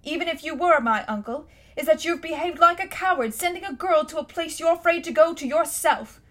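A defiant young schoolboy, burning with anger and indignation, as a woman reads him aloud in a storybook. He speaks loudly and fiercely, his voice pitched high. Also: normal speed, close to an everyday voice. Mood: indignant.

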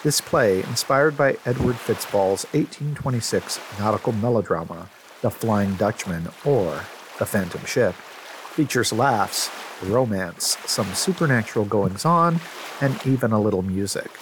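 A man's voice: on the low side, lively intonation, a little slow, slight background noise, poor audio. A man reading a scripted introduction as the announcer of an audio drama podcast.

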